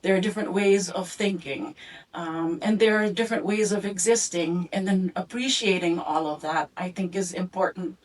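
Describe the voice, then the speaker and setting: A middle-aged woman tells her story in a slow, reflective way, with many pauses and ums. Her voice sits low. The mic is some way off, so she sounds distant. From Philippines and Indonesia, raised abroad. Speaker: community speaker presenting her family story. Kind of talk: storytelling talk with photo slides.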